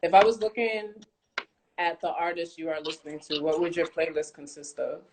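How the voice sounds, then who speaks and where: A woman's voice: deep voice, fairly even intonation, normal speed, slight background noise, rough recording. A woman hosting a casual chat interview, speaking informally with some slang. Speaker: host, interviewer.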